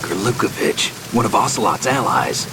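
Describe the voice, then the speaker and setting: Gruff soldier, low gravelly growl, terse, wary, video game cutscene, heavy rain and thunder behind. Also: male voice, very animated, moderate pace, background noise. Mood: wary, businesslike. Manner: terse, clipped. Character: gruff middle-aged male covert soldier, terse and wary.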